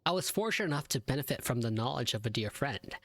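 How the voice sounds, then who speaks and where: A man's voice: medium pitch, lively intonation, quick pace, a bit bright, no room sound, studio quality. A man doing casual live gameplay commentary, with amused, wry asides and dialogue choices read aloud. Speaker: gaming video creator.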